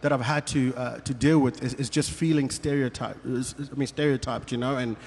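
A man's voice: mid-range voice, steady tone, a little fast, darkish tone. A middle-aged man with a Zimbabwean accent, speaking casually and a little hesitantly as an interview guest. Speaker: interview guest, worship team musician. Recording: long reverberant room echo.

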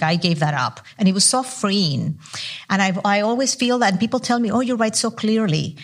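A woman whose first language is not English answers on an academic panel, her voice sitting a little low. She talks candidly and with animation, poking fun at herself as she goes. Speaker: panelist scholar.

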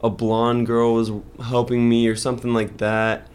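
A man's voice: medium pitch, monotone, normal speed, darkish tone, smooth. A young man co-hosting a casual podcast, telling stories in a rambling way with many fillers. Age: young adult. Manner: rambling storyteller, filler-heavy. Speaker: podcast co-host. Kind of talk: casual chat.

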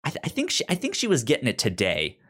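A man hosting a casual podcast, talking in an animated way with frequent restarts and repeated words. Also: mid-range voice, fast, breathy edge. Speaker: podcast host. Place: indoors, in a jacket.

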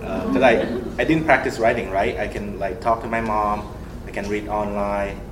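A young man from Thailand with a Thai accent, whose first language is Thai, sharing personal experiences in a group discussion in an earnest, animated way with lots of fillers. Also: on the low side, moderate pace, background noise. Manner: earnest, animated, laughs often, many fillers. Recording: roomy echo with clear background ambience.

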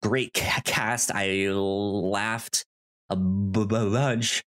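A man co-hosting a podcast in a casual movie discussion, speaking haltingly and stammering over repeated false starts. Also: on the low side, steady tone, a bit bright. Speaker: podcast co-host.

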